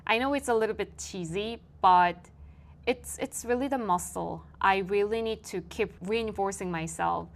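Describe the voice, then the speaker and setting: A young woman with a Korean accent, speaking English as a second language in a reflective personal monologue, thoughtful and soft-spoken with frequent fillers. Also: mid-range voice, moderate pace, gravelly. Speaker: vlogger.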